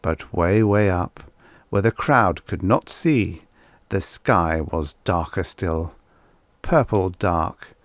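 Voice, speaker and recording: A man reading a short story as an audiobook narrator, in a measured, expressive storytelling manner. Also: sits low, dry recording.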